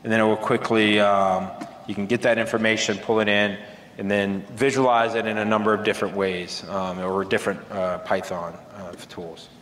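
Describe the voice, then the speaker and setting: A man giving a relaxed, casual technical demo at a conference, with many ums and uhs. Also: fairly low pitch, normal speed, reverberant, long reverb tail, a little hiss or hum. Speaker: conference presenter. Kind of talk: technical software demo talk. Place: large conference hall.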